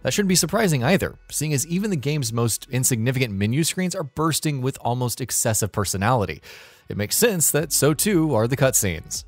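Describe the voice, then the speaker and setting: A man delivers polished, scripted narration for a gaming list show. His pitch moves in lively swings as he stresses key words, and faint music sits behind him. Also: brisk.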